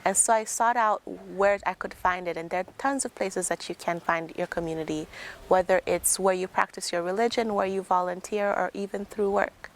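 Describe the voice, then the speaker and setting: A young woman from Tanzania, a software engineer, giving a prepared talk in an earnest, conversational way. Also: medium pitch, fairly even intonation, moderate pace. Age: young adult. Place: outdoors.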